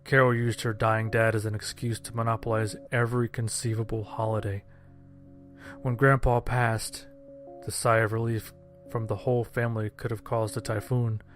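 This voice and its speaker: Male story narrator, lowish and flat, sardonic deadpan, quiet music bed. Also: moderate pace. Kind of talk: scripted first-person horror-comedy story narration.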